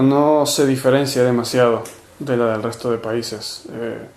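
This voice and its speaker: Argentine man, interviewee; flat delivery, halting with fillers, echoey room. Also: mid-range voice. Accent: Argentine Spanish. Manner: hesitant, many fillers and restarts. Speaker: movement organizer. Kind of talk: interview answers.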